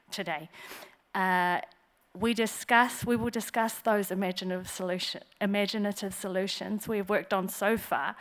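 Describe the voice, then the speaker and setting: A woman giving a prepared symposium talk. She opens with formal greetings and speaks in a measured way with frequent fillers. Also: mid-range voice, a bit bright. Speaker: symposium presenter. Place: conference hall.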